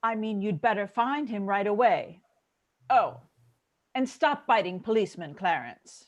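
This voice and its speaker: A woman playing a stern, motherly angel supervisor in an old-time radio drama, measured and reproving. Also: mid-range voice, expressive, natural voice. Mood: concerned, stern. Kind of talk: 1940s radio drama acting.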